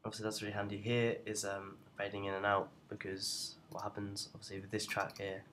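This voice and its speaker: A young adult man giving an informal, unscripted software tutorial in a hesitant, rambling way, as a presenter walking through each step. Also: on the low side, completely flat delivery, brisk. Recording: noticeable background noise.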